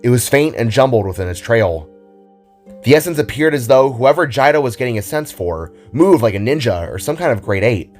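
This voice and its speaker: A man's voice: medium pitch, expressive, brisk, a little mellow, faint music behind. A man narrating a scripted adventure story in a dramatic style.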